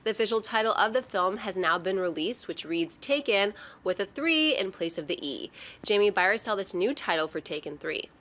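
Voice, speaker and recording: A woman's voice: medium pitch, expressive, moderate pace, a bit bright. A woman reading scripted entertainment news as a show's presenter.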